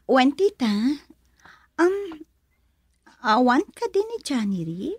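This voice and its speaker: Swooping, high-voiced nosy young woman. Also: very animated, slow, darkish tone, breathy edge, no echo, full character voice. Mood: curious. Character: nosy young woman, teasing and insinuating to aunt. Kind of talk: radio drama dialogue.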